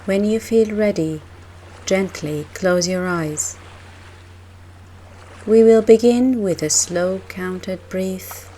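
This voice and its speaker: A woman leading a guided meditation in a soft, gentle, measured way, leaving long pauses between phrases. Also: mid-range voice, a little hiss or hum, poor audio.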